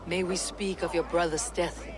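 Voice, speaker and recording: A woman's voice: on the low side, little pitch movement, normal speed, background noise, lightly acted. An actress playing a tough, blunt warrior woman who questions people in a direct, firm way for a video game. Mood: insistent. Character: tough, blunt female warrior investigating a death. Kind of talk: video game character acting.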